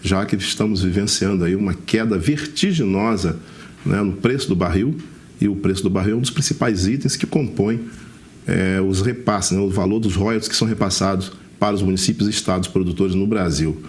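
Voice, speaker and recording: Deep-voiced Brazilian male TV interviewer. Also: brisk, a little mellow. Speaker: interviewer, TV segment host. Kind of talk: broadcast interview.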